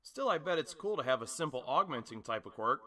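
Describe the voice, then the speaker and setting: Casting: brash, spirited teen superhero student for an anime dub, forceful and bright, punchy delivery, performed by a man. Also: mid-range voice, lively intonation, brisk. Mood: upbeat, admiring. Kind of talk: anime dub audition acting.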